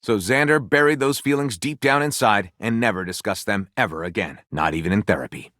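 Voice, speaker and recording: A man's voice: fairly low pitch, a little fast, fairly bright tone, dry recording, clean studio sound, lightly acted. A man voicing a grandiose, lewd, self-satisfied storyteller character in a video game, with wry, sarcastic asides. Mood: dramatic narrating.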